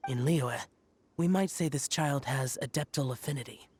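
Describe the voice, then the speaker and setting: A woman's voice: rumbling low, normal speed, darkish tone, slightly rough, dry recording. A woman playing an aloof, solemn young male demon slayer in a video game, speaking in a terse, formal, reserved way.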